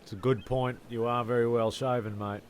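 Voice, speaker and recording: Guy playing aussie castaway mate, medium pitch, plain talking, bit exasperated, comedy audio skit. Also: little pitch movement, a little fast, slight background noise, close to an everyday voice. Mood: matter-of-fact. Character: matter-of-fact, mildly exasperated castaway mate. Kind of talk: comedy audio drama acting.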